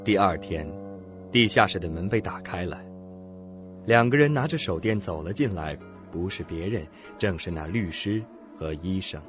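A man's voice: low-pitched, animated, normal speed, muffled recording. A man narrating a suspense audio drama in a dramatic, scripted storytelling style. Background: music.

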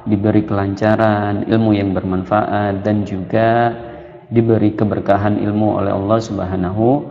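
A young man, teaching children a religious history lesson in measured, short phrases. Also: on the low side, flat delivery. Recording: muffled, echoey room with long reverb.

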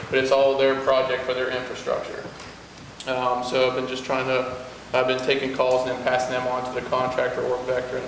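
Man, halting report, flat delivery, very echoey hall, far-off camera. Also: mid-range voice, a little hiss or hum. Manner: plain, halting, many fillers. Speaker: city service director. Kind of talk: spoken report to a council. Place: large wood-paneled meeting hall. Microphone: far room camera.

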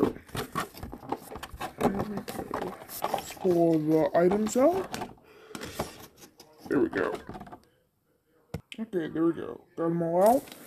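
A casual unboxing vlogger speaks through a voice changer in a dark, mellow tone at middling pitch. The delivery is offhand and halting. Faint noise sits behind the voice. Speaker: video creator presenting an unboxing. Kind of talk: casual chat. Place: indoors at a tabletop.

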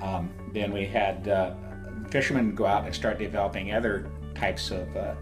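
An older commercial fisherman speaks in a low voice, pausing often with fillers as he answers questions. He sits indoors in an office, with quiet guitar music playing underneath. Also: fairly even intonation. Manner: hesitant, with frequent fillers. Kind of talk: interview answers.

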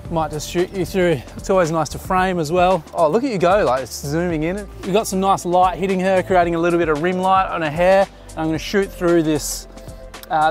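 Guy photographer on a pier, kinda high voice, chill, telling the model where to look, bit of music behind. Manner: relaxed, directing a model while explaining. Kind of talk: casual behind-the-scenes commentary. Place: outdoors on a beach jetty.

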